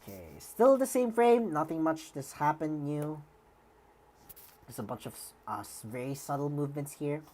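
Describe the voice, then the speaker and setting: A woman's voice: low-pitched, lively intonation, normal speed, mellow, a little hiss or hum. A woman streamer giving rambling live commentary, full of fillers and restarts, with a slightly worn voice.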